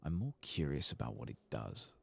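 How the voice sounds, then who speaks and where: A man softly narrating his video game in ASMR style, tired and casual, muttering to himself and drifting into whispers. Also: a bass voice, very animated, moderate pace, vocal fry. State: tired, late at night. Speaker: host of a game playthrough. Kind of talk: soft-spoken ASMR gameplay commentary. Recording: faint background noise.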